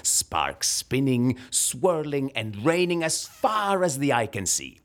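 An actor playing a proud, defensive agency underling in a comedy audio drama, a man with an Italian accent and a husky voice. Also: mid-range voice, big pitch swings, takes it slowly. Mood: proud, dramatic.

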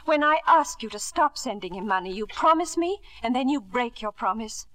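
A middle-aged wife in a vintage radio play. Her voice is fairly high and sharply bright. She is proud and coolly bitter, with a crisp, dignified, reproachful delivery. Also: animated, moderate pace. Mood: pained, earnest. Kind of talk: 1950s radio drama acting. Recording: vintage 1950s radio broadcast.

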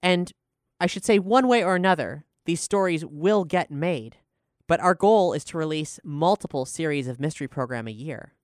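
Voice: mid-range voice, animated, moderate pace, dry recording, studio quality. A podcast host and showrunner speaking earnestly and articulately while making announcements and talking about a production.

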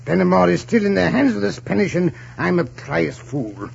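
An actor playing an imperious, scheming colonial governor, pompous and stammering, in 1940s radio drama style. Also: male voice, high-pitched, animated, moderate pace, gravelly, husky, heavily acted. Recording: muffled 1940s radio recording.

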